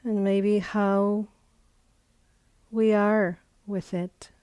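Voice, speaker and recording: Slow, mellow woman guiding meditation. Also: mid-range voice, little pitch movement, smooth, dry recording. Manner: unhurried, with long pauses between short phrases. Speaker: meditation teacher. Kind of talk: guided meditation instruction.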